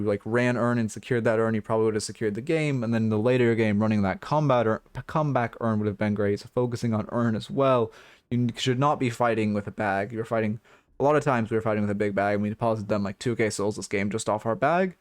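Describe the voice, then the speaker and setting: A hoarse man giving blunt, rambling game coaching notes on a livestream, full of fillers and restarts. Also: lowish voice, no room sound. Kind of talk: casual livestream coaching talk.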